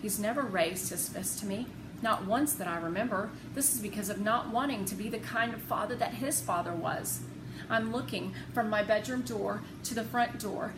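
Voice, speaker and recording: A woman narrating a children's novel expressively, with deliberate, dramatic phrasing. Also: mid-range voice. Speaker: read-aloud narrator. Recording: roomy, noisy home recording.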